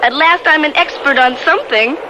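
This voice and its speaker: A woman's voice: high voice, fairly quick, very noisy, natural voice. A woman playing a blunt, feisty young blind niece in a radio drama. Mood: earnest. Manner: plain-spoken, scolding, sardonic. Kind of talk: old-time radio drama acting.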